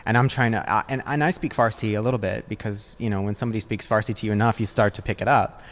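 A man with a fairly low voice talks as a guest in a casual interview. He speaks in a hesitant way, feeling for the right words as he explains things. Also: steady tone, fast talker. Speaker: podcast guest, design business partner. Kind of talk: casual conversational interview answers.

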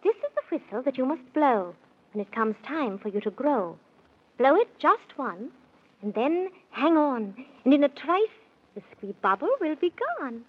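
A good queen in 1930s children's radio. The voice is high and very animated, reassuring, with theatrical sing-song rhymes. The vintage recording is muffled. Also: measured pace, breathy edge, exaggerated voice. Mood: reassuring, instructive. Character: good, grandly reassuring storybook fairy-tale queen.

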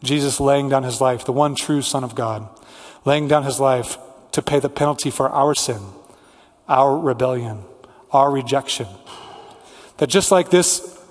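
A man's voice: mid-range voice, unhurried, pauses often. Male preacher in a reverberant hall. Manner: earnest, rhetorical repetition and listing. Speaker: pastor preaching to a congregation. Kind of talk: sermon with prayer and scripture reading. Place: large reverberant church room.

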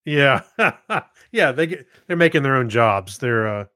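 A man's voice: mid-range voice, lively intonation, unhurried, bright, forceful tone, no room sound, studio quality. A man co-hosting a podcast, reading news and bantering in a loose, jokey, amused manner. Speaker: podcast co-host.

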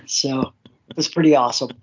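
A man's voice: fairly high pitch, slightly rough, lo-fi sound. A middle-aged Canadian man chatting casually and effusively as an interview guest, rambling with frequent filler phrases. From small town near Vancouver, Canada.

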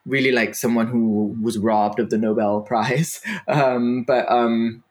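Brief: man, 30s, searching, filler-heavy interview talk, mid-pitched voice. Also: fairly even intonation, slow. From Denmark. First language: Danish. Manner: hesitant, many fillers and restarts, laughs easily. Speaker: guest writer. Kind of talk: casual interview conversation.

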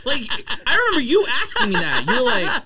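A man's voice: extremely high pitch, fairly quick, vocal fry. A man in a casual sports debate, talking emphatically and repeating himself, with swearing. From Queens, New York. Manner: animated, emphatic, repetitive, profane. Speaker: sports podcast co-host.

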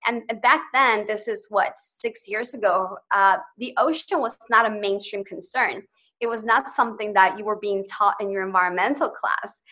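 A woman in her twenties from Ecuador talks as a podcast guest. Her tone is bright and forceful, at a middle pitch. She tells her story earnestly and eagerly, laughing lightly between phrases. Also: normal speed. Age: 20s. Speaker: podcast guest, social entrepreneur. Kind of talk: personal storytelling in interview.

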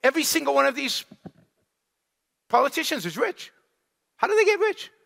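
Emphatic bright-voiced male lecturer in a hall. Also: very high voice, normal speed, vocal fry. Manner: animated, emphatic, rhetorical questions. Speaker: lecturer answering audience questions. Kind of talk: public lecture Q&A. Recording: long hall reverb.

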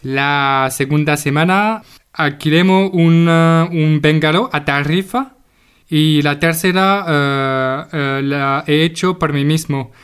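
A man's voice: on the high side, fairly bright tone, clear, resonant tone. A man speaking learner Spanish informally and hesitantly, as if leaving a voice message for a show he follows. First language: French. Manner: hesitant, searching for words. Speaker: podcast listener sending a voice message.

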